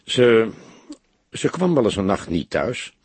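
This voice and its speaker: A man's voice: fairly low pitch, sing-song, takes it slowly, darkish tone, breathy edge, no room sound, exaggerated voice. Een man met een Nederlands en hese stem speelt in een luisterboek een jonge kantoormedewerkster die ontwijkend en stug antwoordt. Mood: evasive, hesitant. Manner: evasive, hesitant, reluctant. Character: guarded, curt young female office worker under questioning.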